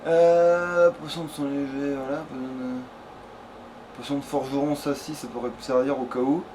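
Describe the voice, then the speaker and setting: A man's voice: mid-range voice, fairly even intonation, normal speed. A man giving casual let's play commentary, thinking aloud in slangy, crude language. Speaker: let's player. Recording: faint background noise.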